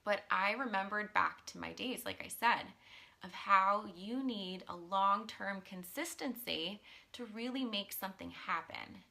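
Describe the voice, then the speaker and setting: A woman in her thirties, a coach, talking casually in a live stream, earnest and chatty with many ums, and a little hoarse from losing her voice. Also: mid-range voice, measured pace, bright, forceful tone. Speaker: mindset and business coach. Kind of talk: casual live-stream talk, reading viewer comments.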